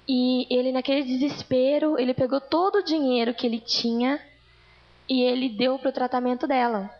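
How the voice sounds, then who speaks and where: Woman, earnest church testimony, raspy fry, muffled lo-fi church recording. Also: high-pitched, fairly even intonation, normal speed. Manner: earnest storytelling, addressing congregation. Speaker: church speaker. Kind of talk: testimony sermon.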